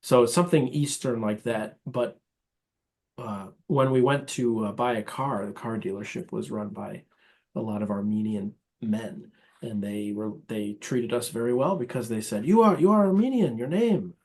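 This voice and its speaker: A man's voice: medium pitch, moderate pace, darkish tone. An American man in his thirties, a priest, talking casually as an interview guest. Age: 30s.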